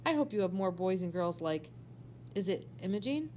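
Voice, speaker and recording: A woman's voice: mid-range voice, lively intonation, moderate pace, darkish tone, slight background noise, natural voice. A woman voicing an authoritative, opinionated female school district supervisor in a storybook, speaking emphatically. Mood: approving, emphatic.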